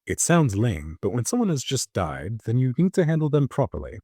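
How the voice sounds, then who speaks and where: A man voicing a wry, instructive train conductor in an audio drama, speaking in a measured, mock-genteel manner. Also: mid-range voice, sing-song, dark tone, breathy edge, no room sound, clean studio sound, voice pushed down. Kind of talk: audio-drama character dialogue.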